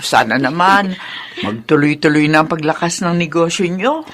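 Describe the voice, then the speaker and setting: An elderly grandmother in a Filipino radio drama. She is kindly and fussing, with lively, swooping pitch and chatty exclamations. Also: moderate pace.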